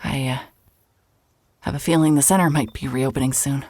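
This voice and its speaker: A worn-out traveller in an audio drama. Her voice is low and husky, and she talks in halting, apologetic fragments, evasive and tired. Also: fairly even intonation, warm tone, no room sound, studio quality. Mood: flustered, hesitant. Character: weary, evasive woman, a traveller staying at a motel. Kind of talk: naturalistic audio drama acting.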